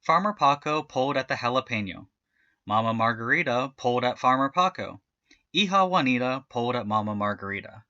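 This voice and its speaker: Male storybook reader: bright, forward voice, animated delivery, dry and slightly dull recording. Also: medium pitch, a little fast, no echo. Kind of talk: animated children's read-aloud narration.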